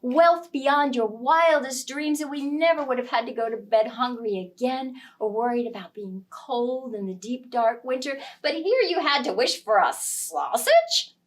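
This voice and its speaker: Woman playing an emphatic, high-voiced scolding wife. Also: lively intonation, unhurried, hardly any pauses, distant mic. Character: scolding, practical woodcutter's wife, poor and exasperated.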